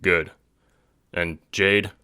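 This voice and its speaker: A man playing a laid-back, slangy teenage boy in an audio drama, talking in a terse, deadpan, casual way. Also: deep voice, extremely slow, smooth, no echo, studio quality, heavily acted. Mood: reassuring.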